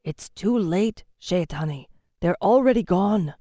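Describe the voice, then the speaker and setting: Woman voicing a gentle fae king, low and quiet, grave, storybook reading. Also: animated, a little slow, no room sound, studio quality. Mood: somber.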